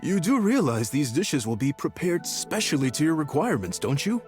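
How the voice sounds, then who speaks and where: A proud, welcoming restaurant chef in a game. His voice sits a little high and moves lively up and down as he talks up his cooking. Soft game music plays behind. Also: moderate pace. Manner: hospitable, proud, chuckling.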